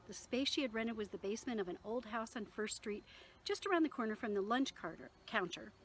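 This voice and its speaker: A woman reading her own novel aloud in an expressive storytelling manner, with occasional small stumbles. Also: mid-range voice, slight background noise, low-quality recording.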